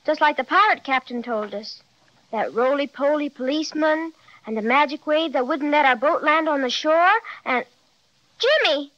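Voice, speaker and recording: A woman's voice: sits high, moderate pace, fairly bright tone, dry recording. A woman playing a timid, polite young girl in an old-time radio drama. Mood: worried. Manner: earnest, wide-eyed. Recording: dull 1930s transcription, dry.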